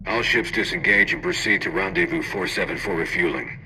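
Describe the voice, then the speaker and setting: An actor playing a steady fleet admiral in a video game, talking in formal military radio procedure. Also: male voice, deep voice, brisk, slight background noise, poor audio. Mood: official. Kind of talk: video game cutscene acting.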